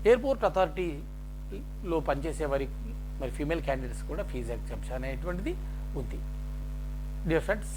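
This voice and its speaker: Male presenter, very animated intonation, halting point-by-point explainer delivery, noisy recording with mains hum. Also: on the high side. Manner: halting, list-like phrasing mixed with English terms. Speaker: jobs information presenter. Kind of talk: explaining a job notification point by point.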